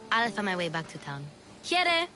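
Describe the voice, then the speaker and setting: A woman's voice: medium pitch, sing-song, moderate pace, over music, close to an everyday voice. An actress playing a plucky, carefree little girl sidekick in a video game, breezy and eager. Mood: breezy, warning. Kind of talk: video game cutscene acting.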